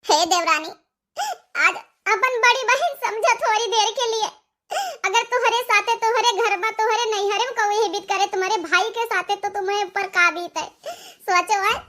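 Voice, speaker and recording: Woman playing an earnest, very shrill village sister-in-law, Awadhi accent. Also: normal speed, bright, forceful tone. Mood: anxious pleading. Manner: earnest, repetitive, dramatic scolding and coaxing. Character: outspoken village sister-in-law, earnest, moralising, family-minded. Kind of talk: comic village cartoon dialogue.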